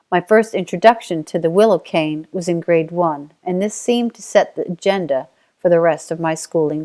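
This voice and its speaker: Middle-aged Australian woman, low-ish voice, steady reflective memoir read. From Australia (Tasmania). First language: Auslan (sign language). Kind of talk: reading a personal life story.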